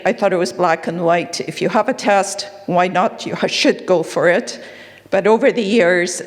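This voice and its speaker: A woman with a Canadian accent giving an earnest, candid personal talk at a conference, with fillers and small asides. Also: on the low side. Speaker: conference speaker. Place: conference hall. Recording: long reverb of a hall.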